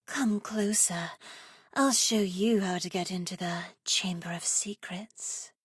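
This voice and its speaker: A seductive dark sorceress for a video game, speaking at a leisurely, savoring pace. She is teasing and theatrical, with a wicked streak and a tendency toward breathy softness. The sound is close and dry. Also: mid-range voice, no room sound. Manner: sultry, teasing, theatrical, slips into breathy whispers. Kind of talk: video game character voice lines.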